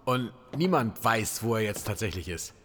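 A man's voice: lowish voice, lively intonation, normal speed, a bit bright. A man playing an easygoing, wry member of a friend group in a Halloween audio play. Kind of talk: scripted Halloween audio play acting, conversational.

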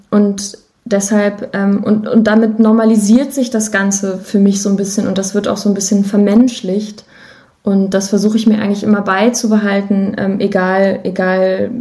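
A woman's voice: mid-range voice, dark tone. A woman from Germany speaking casually and reflectively as an interview guest, thinking aloud as she goes. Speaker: guest, musician and songwriter.

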